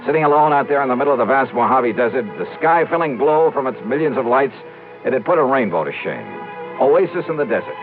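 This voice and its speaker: An American actor playing a wry, brisk male insurance investigator in a 1950s radio detective drama, talking in casual patter. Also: mid-range voice, expressive, phone-quality sound, natural voice. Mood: wry, breezy. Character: breezy, wry male insurance investigator narrating his case. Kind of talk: 1950s radio detective drama acting, first-person narration. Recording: thin band-limited old radio, music behind.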